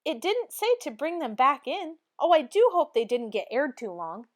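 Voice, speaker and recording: A woman's voice: sits high, sing-song, normal speed, voice pushed up. A woman voicing a cheerful, naive, earnest housemaid in a storybook, with a folksy, exclaiming manner. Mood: pleased, eager.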